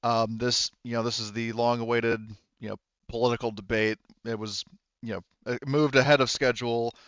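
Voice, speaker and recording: A man's voice: mid-range voice, little pitch movement, normal speed, fairly bright tone, clean tone, dry recording, highs cut off, lightly acted. A man voicing a formal, composed woman who moderates a debate in a tabletop game, polite and official.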